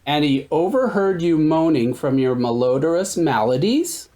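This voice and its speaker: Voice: mid-range voice, expressive, moderate pace, warm tone, lightly acted. A performer playing a quizzing character in a comic radio play, recapping a wild story with questions.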